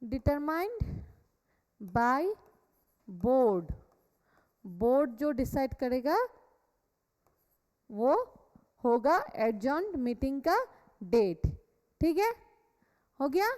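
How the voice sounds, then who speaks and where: A woman's voice: high-pitched, very animated, very slow, long pauses, darkish tone. An Indian woman teacher lecturing a class in an instructional way, repeating key points and checking that students follow. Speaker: teacher of a company law class. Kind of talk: classroom lecture mixing Hindi and English. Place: classroom with students also attending online. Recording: echoey hall-like room reverb.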